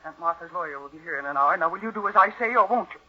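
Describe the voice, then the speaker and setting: A woman's voice: fairly low pitch, lively intonation, rapid-fire. A woman playing a cold, scornful niece scheming to murder for an inheritance, in commanding, theatrical 1940s radio suspense style. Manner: commanding, contemptuous, coolly theatrical. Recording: muffled vintage radio recording.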